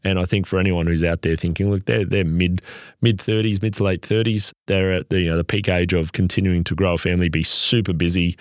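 A man's voice: sits low, level tone, brisk, no room sound, clean studio sound. An Australian man co-hosting a podcast, with a nasal voice, explaining earnestly at length with frequent restarts. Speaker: podcast co-host, property adviser.

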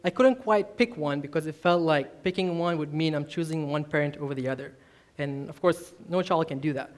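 A man's voice: a little high, a little fast. A man in his twenties from Malaysia, a youth minister preaching a personal testimony sermon in an earnest, self-deprecating way. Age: 20s.

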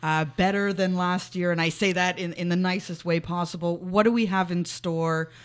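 A woman's voice: lowish voice, fairly even intonation, moderate pace. A woman from Canada co-hosting a conversational sports interview in a chatty manner.

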